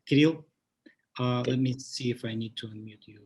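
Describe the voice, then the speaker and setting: A man's voice: medium pitch, a little mellow. A man moderating an online meeting, hurrying to introduce the next speaker. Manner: hurried, moving things along. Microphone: computer mic on a video call.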